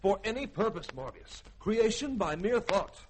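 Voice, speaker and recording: A man's voice: high-pitched, expressive, normal speed. A man playing an earnest, commanding young spaceship officer, speaking insistently and dramatically in a 1950s radio drama. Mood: urgent explaining. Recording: noisy old radio recording.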